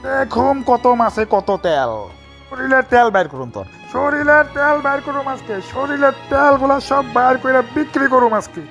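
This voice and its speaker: A man's voice: extremely high pitch, lively intonation, moderate pace, vocal fry, a bit bright, muffled recording, faint music behind, full character voice. A man with a Bangladeshi accent voicing an angry, blustering old bull who scolds and repeats himself in a comic animal cartoon. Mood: furious, threatening. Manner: scolding, repeats threats over and over.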